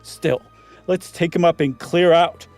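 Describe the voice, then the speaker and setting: A man's voice: a little high, steady tone, measured pace, a little mellow, soft background music, voice pushed up. A man voicing a boisterous, teasing male skeptic in a horror story reading, with a theatrical, taunting delivery. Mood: urgent, bluffing. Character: Meagle, smirking, boisterous, teasing, mocking young male skeptic.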